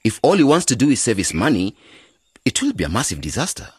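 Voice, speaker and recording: A man playing an earnest, driven young village project leader with a husky voice in a radio drama. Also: mid-range voice, very animated, fast talker, a little mellow. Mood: persuasive.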